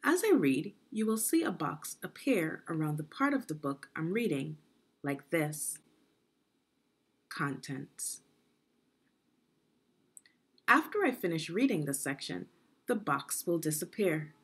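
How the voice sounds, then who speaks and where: Slow, husky woman reading to children. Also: low-pitched, sing-song. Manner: deliberate, instructive, with pauses and questions. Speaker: education consultant reading aloud to children. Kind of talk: guided read-aloud lesson for young children.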